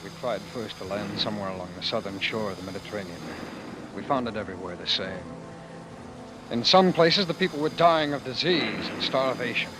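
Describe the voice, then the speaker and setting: A man's voice: lowish voice, expressive, fairly quick, close to an everyday voice, rough recording. An actor playing a haunted, intense man narrating his flight from war, brooding and theatrical, in an old radio drama. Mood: bitter intensity. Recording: vintage radio recording with music behind.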